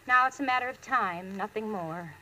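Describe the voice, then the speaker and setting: An actress playing a sensible, exasperated young working woman with crisp theatrical delivery in 1940s radio comedy. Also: medium pitch, normal speed, over loud music, natural voice. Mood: resigned. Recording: faintly noisy old radio recording.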